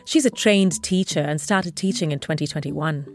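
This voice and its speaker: A woman host narrating a podcast in a calm, clear, scripted presenter style. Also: low-pitched, moderate pace.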